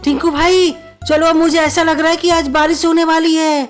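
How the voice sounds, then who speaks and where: A sensible, concerned bird husband in a moral-story cartoon, played by a woman, talks in a high, earnest voice. Music plays clearly behind the words. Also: level tone, normal speed.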